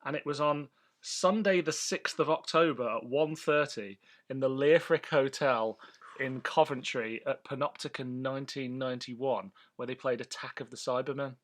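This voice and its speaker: A man's voice: medium pitch, normal speed. A man co-hosting a podcast in casual, chatty banter, with a fondness for detail. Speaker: podcast host. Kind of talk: casual banter between co-hosts.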